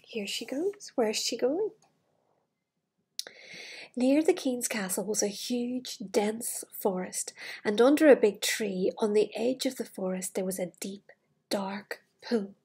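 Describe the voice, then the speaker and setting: A middle-aged woman with a breathy voice, reading a fairytale to children with gushing, chatty asides in a living room. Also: medium pitch, darkish tone. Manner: gushing, wistful enthusiasm for the book. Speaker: children's storyteller. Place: living room with large glass doors. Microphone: device camera at arm's length.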